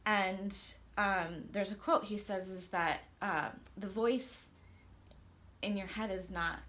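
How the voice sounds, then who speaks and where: A woman's voice: mid-range voice, steady tone, slow pace, a bit bright. A woman life coach speaking as an interview guest, earnest and enthusiastic, sometimes stumbling over how she starts a thought. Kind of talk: conversational interview.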